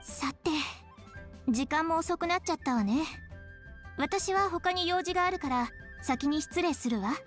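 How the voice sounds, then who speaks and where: A woman's voice: very high-pitched, animated, measured pace, soft background music. A woman voicing a poised, sincere young woman in a Japanese game, composed and articulate. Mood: polite, grateful. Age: young adult.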